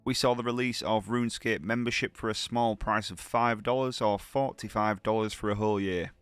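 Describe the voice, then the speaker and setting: A man's voice: lowish voice, steady tone, a little fast, smooth, dry recording, soft background music. A man with a heavy cold, talking through his gameplay in a chatty, upbeat way, full of slang. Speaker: gaming channel host. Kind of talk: gameplay commentary.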